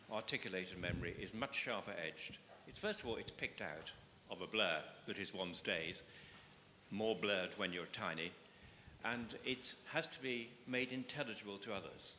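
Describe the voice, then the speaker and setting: A man on a discussion panel, speaking loudly in an articulate, measured way. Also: fairly low pitch, long reverb tail, slight background noise. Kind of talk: spontaneous public discussion.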